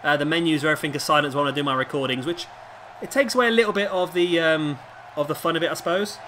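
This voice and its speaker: Male gaming commentator, slightly high-pitched but hoarse, casual play-by-play, over clear background noise. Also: fast. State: hoarse with a sore throat. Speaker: gaming video host and commentator. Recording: clearly noisy home recording.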